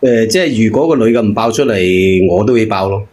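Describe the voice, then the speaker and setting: A man's voice: fairly low pitch, brisk, a little mellow. A man with a Hong Kong accent giving advice in casual chat, frank and colloquial.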